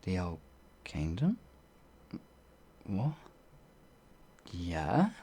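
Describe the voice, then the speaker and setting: An actor playing a bewildered, humble werewolf outlaw in an ASMR fantasy roleplay, with halting, old-fashioned speech and a husky voice. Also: low-pitched, big pitch swings, mellow, full character voice. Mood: confused. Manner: halting, flustered, pleading, old-fashioned wording.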